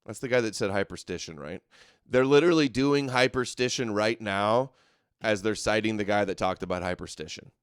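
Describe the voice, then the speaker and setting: A man's voice: lowish voice, brisk, clear, resonant tone, no room sound, studio quality. A male host giving sarcastic, scoffing commentary over video clips. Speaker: show host.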